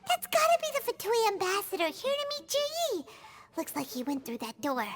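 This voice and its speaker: Squeaky, very high childlike companion. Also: female voice, wildly swooping pitch, normal speed, background noise, exaggerated voice. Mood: excited, urgent. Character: tiny childlike floating companion, chirpy and eager. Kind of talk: video game voice acting.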